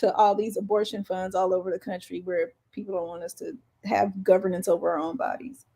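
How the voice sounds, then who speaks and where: A woman's voice: medium pitch, little pitch movement, fairly quick, vocal fry, dark tone. An American woman co-hosting a casual podcast, talking in an opinionated, outspoken way. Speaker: podcast co-host.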